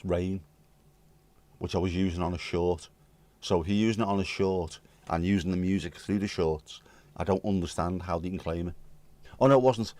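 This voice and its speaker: Hoarse British man, very deep voice. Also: darkish tone, no room sound. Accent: British English. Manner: blunt, swears casually. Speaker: livestream host. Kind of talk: casual chat reading viewer comments.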